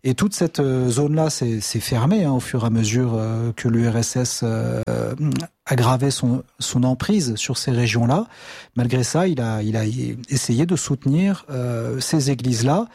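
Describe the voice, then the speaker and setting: Hesitant man, mellow voice. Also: mid-range voice, normal speed, breathy edge, dry recording. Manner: hesitant, many fillers and restarts. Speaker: charity representative. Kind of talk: interview answers.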